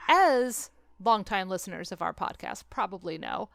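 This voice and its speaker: A woman co-hosting a podcast in casual chat, with an animated, sarcastic manner and a readiness to swear. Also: medium pitch, moderate pace, creaky, no room sound. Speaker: podcast co-host. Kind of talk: casual podcast chat about a film and book.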